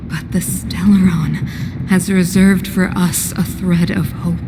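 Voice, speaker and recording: A solemn matriarch and ruler from a video game, acted by a woman. She speaks slowly and gravely, like an oration, over clear music. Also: mid-range voice, lightly acted. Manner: grave, measured, oratorical. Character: solemn, commanding, fanatical mother and ruler.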